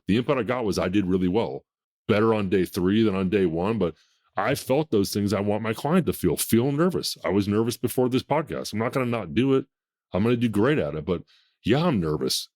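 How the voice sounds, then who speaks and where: Brief: deep-voiced male podcast host in his fifties, very low, blunt and commanding. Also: darkish tone. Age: 50s. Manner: blunt, emphatic, self-assured. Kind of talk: casual podcast conversation.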